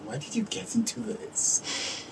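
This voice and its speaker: A man's voice: high voice, big pitch swings, unhurried, vocal fry, far from the mic, noisy background. A man hosting a radio interview, asking curious, chatty questions. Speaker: radio show host. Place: radio studio.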